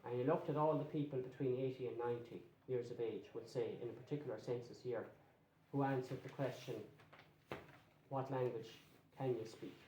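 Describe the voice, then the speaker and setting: An Irish man gives a talk to a local history society in a reverberant room, with a faint hiss underneath. His voice is mellow and unhurried. He explains patiently and keeps checking that the audience follows. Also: medium pitch, steady tone. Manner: conversational, explanatory, checks in with "okay?". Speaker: guest lecturer on place names. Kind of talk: public lecture to a local society.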